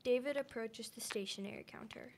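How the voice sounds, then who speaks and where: A woman's voice: on the high side, fairly quick. A young woman reading scripted narration for an amateur radio drama. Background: music with guitar under the voice.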